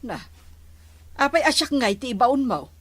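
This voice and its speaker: A woman's voice: high voice, expressive, moderate pace, dry recording. A woman with an Ilocano Filipino accent playing a nagging village captain's wife in an amateur comedy skit.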